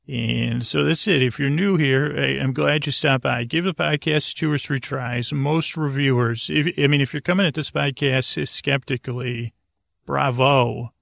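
A man hosting a bedtime podcast, meandering and halting as he rambles, often trailing off mid-thought. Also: medium pitch, steady tone, creaky, fairly bright tone, dry recording, clean studio sound. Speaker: podcast host.

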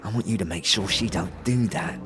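A man's voice: low voice, a little fast, music in the background, lightly acted. A man voicing a chatty, slangy tavern keeper in a fantasy audio drama, with stammering asides. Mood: conspiratorial.

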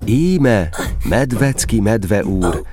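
A man playing a wry, patient narrator telling a children's story in a radio play, with a playfully deliberate delivery. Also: fairly low pitch, animated, warm tone, breathy edge, no echo, studio quality. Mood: wry storytelling.

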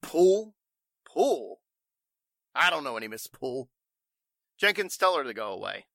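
Pompous company boss, 1940s radio: forceful bright voice, swinging pitch, blustery, shifty. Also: male voice, very animated, a little slow, dry recording, studio quality, full character voice. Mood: irritated. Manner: gruff bluster, stammering pomposity. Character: blustering, irritable, evasive warehouse boss.

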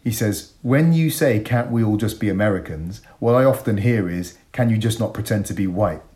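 A man's voice: lowish voice, normal speed, a little mellow. A man in his forties from Bristol with an English accent, speaking as an interview guest in an articulate, emphatic way, making reflective arguments. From Bristol, England. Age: 40s.

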